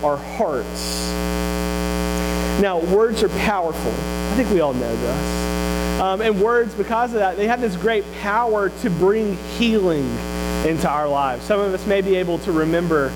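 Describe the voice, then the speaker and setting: A guest preacher speaks from a church pulpit, nose stuffed up from a cold. The delivery is earnest and conversational, with dry asides slipped in between points. A loud, steady electrical hum runs under the whole recording. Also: medium pitch, a little slow. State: congested from a cold. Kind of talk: sermon. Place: church sanctuary.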